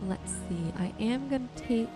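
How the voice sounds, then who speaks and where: A soft-spoken woman giving unhurried ASMR gameplay commentary, musing to herself and her viewers. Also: a little high, animated. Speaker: gaming streamer and host. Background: music and rain sounds.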